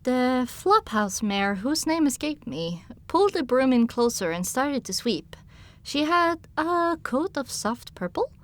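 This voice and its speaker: A woman's voice: high voice, dry recording. A woman narrating an audio drama in the first person as a sensitive young pony filly, in a scripted storytelling style. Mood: wry, puzzled.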